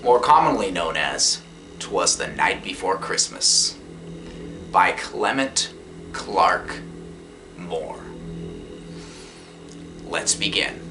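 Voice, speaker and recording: A storyteller reading a poem slowly and deliberately, with dramatic pauses and phrases that trail off into breathy near-whispers. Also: low-pitched, expressive, noisy background. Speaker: host and storyteller. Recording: loud music behind the voice. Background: loud music.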